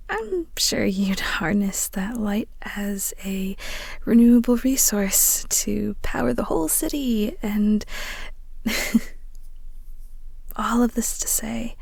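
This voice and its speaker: A young woman acting in an audio roleplay as an awkward, fond best friend with a hidden crush, speaking haltingly and bashfully with giggly hesitations. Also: a little high, gravelly, a little mellow, husky, dry recording, exaggerated voice.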